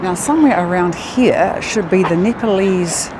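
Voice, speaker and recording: A woman's voice: fairly low pitch, moderate pace. Chatty Kiwi woman on a night walk. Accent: New Zealand. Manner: chatty, easily impressed, exclaims often. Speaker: travel vlogger. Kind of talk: walking tour vlog with facts. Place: outdoors in a city at night, by a river. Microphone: action camera. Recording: outdoor city noise in the background. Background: city street and traffic sounds.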